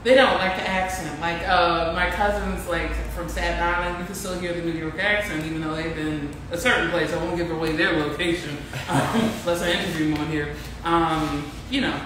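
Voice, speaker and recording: A host with a Philadelphia accent chatting casually in an interview, animated and laughing often. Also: mid-range voice, fairly quick, few pauses. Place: pizzeria on location. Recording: echoey room, far mic, noise.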